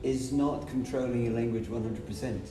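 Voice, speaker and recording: A man's voice: medium pitch, moderate pace, roomy sound. Guy explaining stuff on a podcast, far from the mic, music behind. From Brazil. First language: Portuguese. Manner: emphatic, explanatory, speaks in short repeated phrases. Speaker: English teacher. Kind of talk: podcast conversation.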